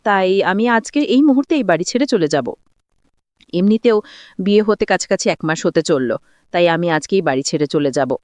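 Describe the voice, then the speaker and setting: A woman's voice: fairly low pitch, lively intonation, moderate pace, darkish tone, dry recording, voice pushed down, natural voice. A woman storyteller voicing a hurt, neglected young wife in a plaintive, emotional manner. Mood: resolute, hurt.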